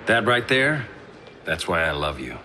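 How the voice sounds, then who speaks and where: Very animated, wisecracking hero. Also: male voice, deep voice, a little slow, background noise. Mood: wry, joking. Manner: flippant, wry. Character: wisecracking, easygoing male archer superhero, devoted partner. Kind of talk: cinematic video game acting. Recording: loud cinematic game music behind.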